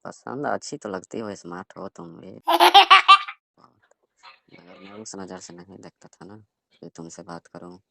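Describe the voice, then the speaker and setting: A young man with a low voice and plenty of vocal fry talks casually on a call. He is reserved and keeps things terse. The sound is close and dry. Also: measured pace, long pauses, dry recording. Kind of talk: casual phone conversation.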